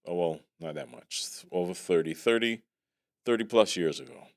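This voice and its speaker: A middle-aged man from Barbados hosting a podcast in casual, animated chat, repeating words and laughing often. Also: low-pitched, normal speed, dry recording, studio quality. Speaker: podcast host.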